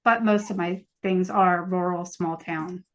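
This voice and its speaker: A woman's voice: lowish voice, fairly even intonation, a little slow. American woman chatting as an interview guest. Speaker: podcast guest, novelist. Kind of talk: casual interview chat.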